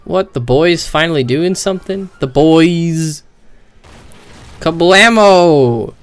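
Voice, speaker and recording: A man's voice: a little high, animated, slow. A man giving casual, sarcastic, slangy live commentary on video game cutscenes, swearing freely.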